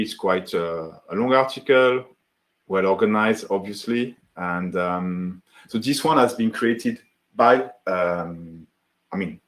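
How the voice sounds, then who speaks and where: A man with a French accent talks through a live screen-share webinar. He speaks over a computer mic in a slightly low voice, greeting viewers by name and filling pauses with "uh" and "um" as he explains. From France. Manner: friendly, greets chat viewers by name, many fillers. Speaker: software founder hosting a live webinar.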